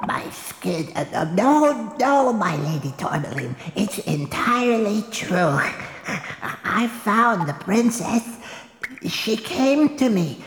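A woman playing a nervous, servile villain's minion in an audio fairytale, stammering and halting. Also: mid-range voice, sing-song, a little hiss or hum. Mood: nervous, eager. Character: nervous, cringing fairy minion Babek, reporting to mistress. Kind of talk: audio theatre fairytale acting. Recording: roomy, echoing reverb.

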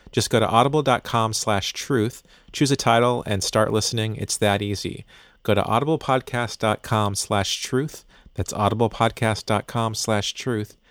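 A man hosting a podcast, chatting in a relaxed, conversational way and reading ads with light hesitations. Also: on the low side. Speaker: podcast host. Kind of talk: chatty intro and ad reads.

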